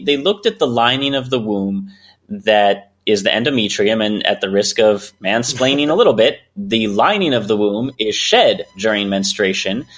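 Man, mid-pitched voice, podcast co-host, wry news reading, self-deprecating. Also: a bit bright. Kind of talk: casual science news chat.

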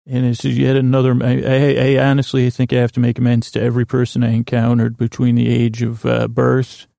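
A man with a heavily creaky, gravelly voice rambles through a solo podcast monologue. His delivery is flat and mellow, full of stammered restarts and digressions. The sound is close and dry. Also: medium pitch, a little fast, no room sound. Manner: hesitant, stammering, self-interrupting, digressive. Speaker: podcast host.

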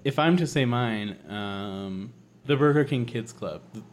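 A man's voice: medium pitch, unhurried. A man co-hosting a casual chat, joking and asking playful questions. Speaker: podcast co-host.

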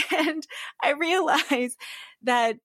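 A woman in her forties hosting a podcast interview, telling stories in an animated, engaged way. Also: sits high, drawn-out words, dry recording. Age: 40s. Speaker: podcast host. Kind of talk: conversational interview.

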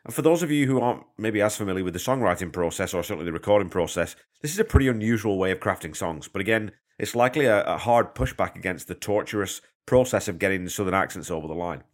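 A man's voice: deep voice, lively intonation, fast talker, few pauses. A man hosting a podcast from a hotel room on a portable mic, slightly congested from a cold, chatty and self-deprecating as he patiently explains things. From Canada. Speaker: podcast host. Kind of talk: casual podcast monologue.

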